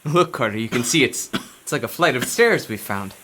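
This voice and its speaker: Horror radio play: driven occult scholar, pompous, formal, patronizing, medium-pitched voice. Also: male voice, expressive, fairly quick, bright, forceful tone. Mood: excited. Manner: grandiose, condescending, formal old-fashioned speech. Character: Warren, driven, condescending occult scholar obsessed with forbidden knowledge. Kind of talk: horror radio drama acting.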